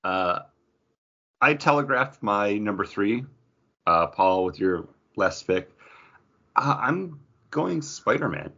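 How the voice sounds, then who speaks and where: A man with a low voice chats as a podcast host. His delivery is conversational, rambling and enthusiastic. The recording is a little dull and band-limited. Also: very animated, slow, pauses often, pushed and bright. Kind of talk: casual pop culture chat about comics.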